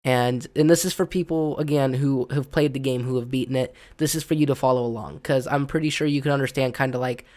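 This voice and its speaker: A man hosting an unscripted gaming opinion vlog, hoarse and raspy from being sick, rambling and stumbling with lots of ums. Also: medium pitch, fairly even intonation, fast talker, hardly any pauses, darkish tone. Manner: looks around rather than at the camera. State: hoarse from illness. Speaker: gaming video host.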